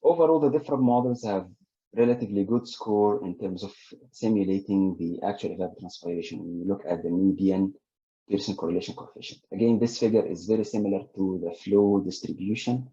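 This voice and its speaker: A man's voice: low voice, a little fast, dark tone, muffled recording. A man giving an academic webinar presentation, talking through results with frequent fillers and restarts.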